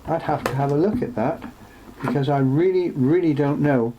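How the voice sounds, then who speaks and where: A man presenting an unscripted show-and-tell in a workshop, speaking haltingly as he thinks aloud. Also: fairly high pitch, lo-fi sound. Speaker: presenter showing vintage electronics for restoration. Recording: clearly noisy, with background hum.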